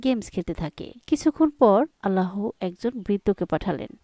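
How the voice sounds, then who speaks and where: A woman's voice: medium pitch, lively intonation, brisk, dark tone, no echo, clean studio sound. A woman narrating a scripted children's cartoon story.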